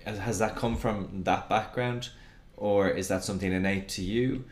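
A man with a low voice hosts a podcast, asking questions in a thoughtful, slightly hesitant way. His voice rings out in a roomy, echoey space. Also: steady tone, normal speed. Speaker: podcast host, teacher. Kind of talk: casual interview chat. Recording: roomy echo.

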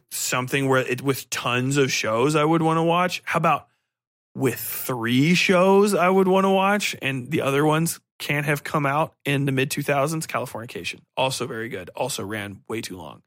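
A man's voice: a little high, a bit bright, dry recording, clean studio sound. A man hosting a solo podcast, giving casual review commentary in a rambling, think-aloud way with some casual swearing. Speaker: podcast host.

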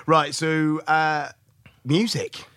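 Bright-voiced chef, eager talker. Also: male voice, a little high, flat delivery, drawn-out words, no echo, studio quality. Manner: eager, explains cooking step by step in a rush. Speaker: chef guest. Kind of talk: casual chat.

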